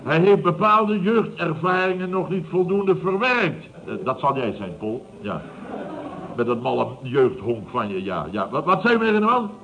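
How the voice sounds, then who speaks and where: Blustering, animated middle-aged boss. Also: male voice, a little high, normal speed. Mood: eager, gloating. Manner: pompous, exclamatory, stammers when flustered. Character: blustering, self-satisfied middle-aged boss of a building firm. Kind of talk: 1970s Dutch radio comedy acting. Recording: dull, noisy vintage radio recording.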